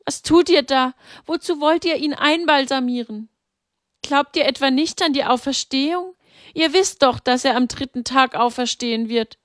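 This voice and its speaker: A woman's voice: moderate pace, no echo, clean studio sound, natural voice. A woman voicing Mary, a grieving, devout mother who pleads in a raised, anguished voice. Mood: pleading. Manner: pleading, anguished, high-pitched.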